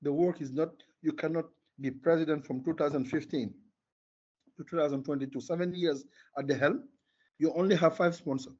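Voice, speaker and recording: An older man with a husky voice and a Senegalese accent, native in Wolof, answers as an interview guest. He is emphatic and opinionated, repeating words to drive points home. The audio is dull and lo-fi. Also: fairly high pitch, fairly even intonation, fairly quick, darkish tone. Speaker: interview guest, former sports marketing executive.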